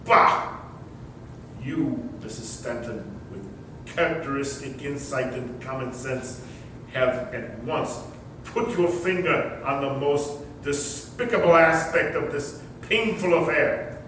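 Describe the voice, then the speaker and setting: A man's voice: slow, natural voice. A man playing a pompous, self-righteous, miserly old uncle in a staged play reading, with emphatic oratorical delivery. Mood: indignant. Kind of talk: staged readers theater performance. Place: echoey room, distant mic. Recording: echoey hall from far off, rumbling noise.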